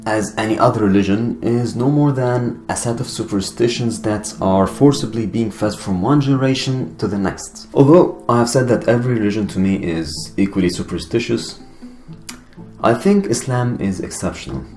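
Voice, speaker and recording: A young man with an Arabic accent, a native Arabic speaker, talking earnestly to camera in his own video with deliberate pauses. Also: lowish voice, a little mellow. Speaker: YouTuber. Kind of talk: personal video monologue. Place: Finland. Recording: echoey room with guitar music behind.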